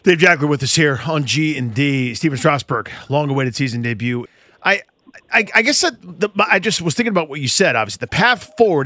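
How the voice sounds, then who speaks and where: A man with a slightly high, sharp-edged voice talks quickly on sports radio. He is wordy and conversational, circling toward his questions, in a dry studio sound. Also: no echo. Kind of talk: conversational sports talk interviewing.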